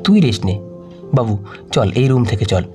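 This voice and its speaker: A man's voice: medium pitch, sing-song, normal speed, warm tone, soft background music, voice pushed down, close to an everyday voice. A man voicing a kind, motherly middle-aged aunt who speaks in a tender, coaxing way in an audio story.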